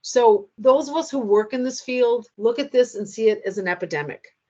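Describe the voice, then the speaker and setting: Woman giving a measured training lecture. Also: fairly high pitch, a little mellow, dull audio. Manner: measured, explanatory, addresses the audience directly. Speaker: lecturer on loneliness in later life.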